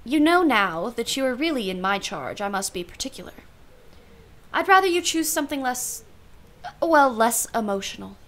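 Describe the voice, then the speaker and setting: A woman's voice: fairly high pitch, sing-song, moderate pace, fairly bright tone. A woman playing a wry, world-weary aunt in a radio play, with a dry, arch manner and formal phrasing. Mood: dry, amused. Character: wry, world-weary aunt minding her young niece. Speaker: actress.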